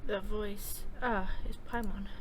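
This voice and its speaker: A woman's voice: mid-range voice, fairly even intonation, slow pace, heavy background noise. A woman doing casual video game playthrough commentary, reacting in slang and reading game text aloud. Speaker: streamer playing a video game.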